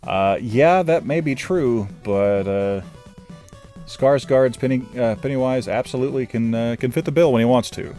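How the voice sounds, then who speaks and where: A man's voice: mid-range voice, moderate pace, faint music behind. A man giving a casual, rambling film review, self-deprecating and loose, with plenty of fillers. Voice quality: says his own voice is normally monotone. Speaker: movie reviewer.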